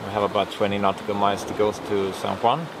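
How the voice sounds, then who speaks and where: A man's voice: on the low side, fast talker. A Swedish man in his forties chatting casually about the sail from a boat cockpit at sea, filmed on a close camera. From Sweden. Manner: relaxed, matter-of-fact, sailing jargon. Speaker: sailing vlogger. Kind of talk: casual chat. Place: cockpit of a sailboat at sea, outdoors. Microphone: handheld camera close to face. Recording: wind and wave noise outdoors.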